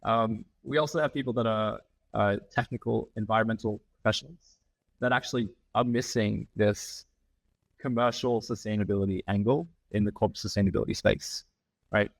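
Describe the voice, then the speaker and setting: Low-voiced Australian man, dry close sound. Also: steady tone, vocal fry, no echo, low-quality recording. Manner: measured, many "um" fillers, explanatory. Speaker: podcast guest, founding partner. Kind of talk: conversational interview.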